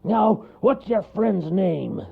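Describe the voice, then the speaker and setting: A man voicing a huge, grumpy bear who wants sleep, with a gruff, growling, exasperated roar in a children's story. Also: high voice, very animated, slow pace, phone-quality sound, voice pushed up, heavily acted. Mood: grumpy, forceful. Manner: roaring, exasperated bellowing.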